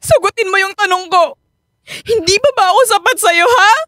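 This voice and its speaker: A woman's voice: very high voice, moderate pace, bright, forceful tone, no room sound, clean studio sound. A woman playing a childish, clingy young wife with a whiny, cutesy way of talking and a Filipino accent, in a radio drama. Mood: hurt, angry. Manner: cutesy baby talk, whiny.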